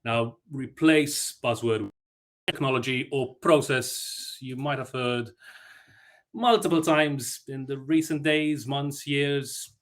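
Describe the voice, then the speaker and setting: A man from Malta gives a conference talk in a chatty, self-deprecating way. He stumbles through fillers and restarts as he tells a story. His voice sits at a middling pitch. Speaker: conference speaker.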